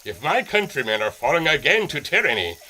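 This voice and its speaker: A German sky captain, clipped formal commands, bright sharp voice, swings wildly in pitch. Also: male voice, big pitch swings, fairly quick, heavily acted, low-quality recording. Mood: commanding. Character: stern German airship captain, middle-aged man. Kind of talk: audio drama acting.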